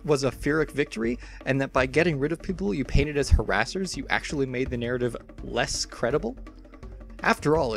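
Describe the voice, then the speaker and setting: A man dramatically reading the part of a combative, exasperated online debater, argumentative, emphatic and sweary. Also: fairly high pitch.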